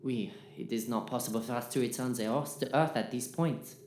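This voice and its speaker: Woman acting a earnest science robot, low voice, formal and literal, echoey, sci-fi audio drama. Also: a little fast, a little mellow. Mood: matter-of-fact. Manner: formal, literal, matter-of-fact. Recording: roomy echo, faint noise.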